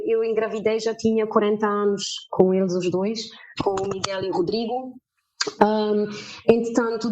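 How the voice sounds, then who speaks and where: Woman in her 50s from germany, guest on a video call, kinda high voice, lots of ehs and pauses. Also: darkish tone, muffled recording. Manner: halting, many filler sounds. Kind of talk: personal storytelling in interview.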